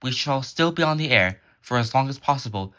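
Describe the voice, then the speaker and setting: Bright-voiced man reading emergency announcements. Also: mid-range voice, animated, fairly quick. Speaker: emergency broadcast announcer and narrator. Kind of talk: scripted emergency alert narration.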